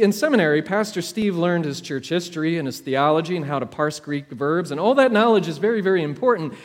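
A high-voiced man delivers a sermon in a space with long, ringing reverb. He preaches like a storyteller, measured and deliberate. Dramatic pauses and repeated phrases shape his delivery. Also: a little mellow.

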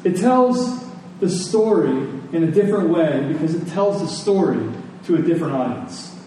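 A man's voice: fairly high pitch, expressive, moderate pace, mellow. A man preaching a sermon in a conversational teaching manner, with repetition and rhetorical questions. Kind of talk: sermon to a Sunday congregation. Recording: echoey room, distant mic, faint noise.

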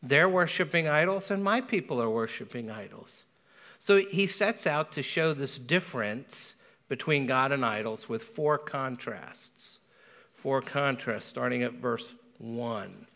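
A man preaching a sermon as pastor, speaking earnestly with a Spanish accent and slowing down to stress key words. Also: medium pitch, expressive, sharp tone. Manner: earnest, measured preaching with emphatic slow stresses.

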